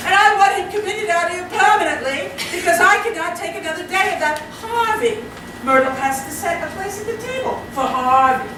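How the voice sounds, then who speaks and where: A woman playing a flustered, indignant middle-aged society mother in a comic stage play, fretful and emphatic in her complaints. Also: extremely high pitch. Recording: echoey, very noisy hall from far off.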